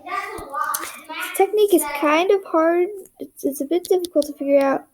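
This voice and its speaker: A young woman narrating a casual drawing tutorial in a monotone, rambling way, often dropping to a whisper as she works. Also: extremely high pitch, moderate pace, background noise. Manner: monotone, rambling, self-deprecating.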